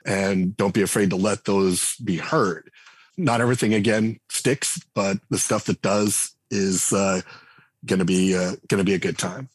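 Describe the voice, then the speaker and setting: Low husky man, rambling guest. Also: fairly even intonation, a little slow, dry recording. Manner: rambling, many restarts and fillers. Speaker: game writer and designer guest. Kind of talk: conversational podcast interview.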